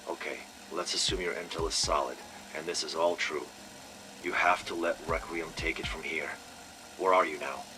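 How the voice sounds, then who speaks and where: Deep, guarded intelligence chief. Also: male voice, fairly even intonation, moderate pace, noisy background. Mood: stern, worried. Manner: controlled, grave. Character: guarded, stern male intelligence team leader, protective. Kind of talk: video game audio log acting.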